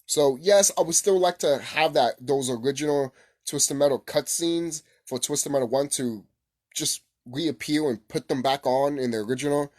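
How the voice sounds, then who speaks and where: A man hosting a casual, unscripted gaming vlog, rambling excitably, repeating himself and swearing casually. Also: on the high side, normal speed. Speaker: gaming video host.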